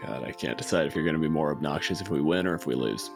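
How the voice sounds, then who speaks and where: A man's voice: a bass voice, expressive, quick pace, vocal fry, music in the background. A man as co-host and game master, moving between tabletop narration and casual host talk.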